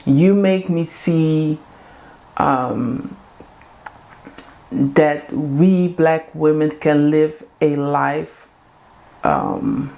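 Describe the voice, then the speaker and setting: A woman speaks straight to her viewers in a casual vlog. Her voice sits low, and her talk is earnest, heartfelt and chatty, with the odd "um" as she gathers her thoughts. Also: big pitch swings, very slow, vocal fry, pauses often, dark tone. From Suriname-Creole family in the Netherlands. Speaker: vlog host. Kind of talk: casual direct-to-camera talk.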